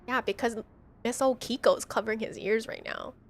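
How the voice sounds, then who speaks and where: A woman doing live game commentary as a streamer, slangy and animated, reading on-screen text aloud. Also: fairly high pitch, moderate pace, creaky. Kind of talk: live playthrough commentary with dialogue read aloud.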